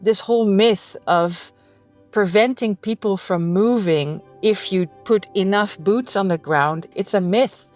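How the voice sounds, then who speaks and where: A woman's voice: medium pitch, measured pace, a little mellow, soft background music. A woman interviewee speaking firmly and critically.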